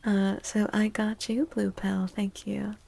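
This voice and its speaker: A woman streaming as a tarot host, speaking gently in a breathy ASMR style that often drops to a whisper, close to the microphone. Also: medium pitch, level tone. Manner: gentle, slow, drawn-out phrasing. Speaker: livestream host doing tarot readings. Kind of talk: soft-spoken ASMR, chatting to viewers.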